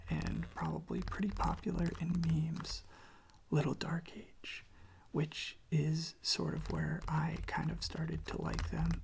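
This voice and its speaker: An ASMR creator speaking slowly in a soft, hushed whisper close to the microphone, gently repeating phrases. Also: medium pitch, vocal fry, slightly rough. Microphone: close microphone propped on a desk.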